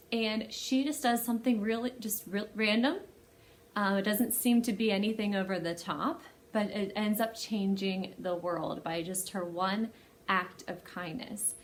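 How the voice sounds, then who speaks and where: A woman hosting a library storytime, speaking to young children in an animated way as she presents and reads a picture book aloud. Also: medium pitch, slight background noise.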